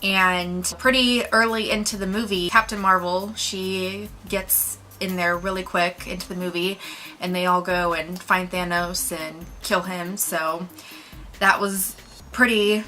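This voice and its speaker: Bright-voiced woman chatting through a movie review. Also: fairly low pitch, steady tone, measured pace, a little hiss or hum, rough recording.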